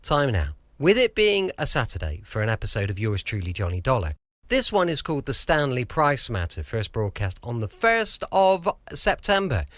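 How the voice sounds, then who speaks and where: A man's voice: medium pitch, huge pitch swings, moderate pace, a bit bright, no echo. A British man hosting a podcast, chatting casually in a folksy, rambling way that repeats little phrases. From United Kingdom. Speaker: podcast host.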